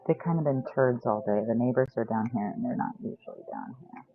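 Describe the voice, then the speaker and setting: A woman's voice: a bass voice, fairly quick, narrow, tinny audio. Giggly woman in her 40s doing tarot on a livestream, says like a lot, sounds thin like over a phone. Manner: chatty, laughs often, lots of "like". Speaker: tarot reader hosting a live sale stream. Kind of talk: casual livestream chat.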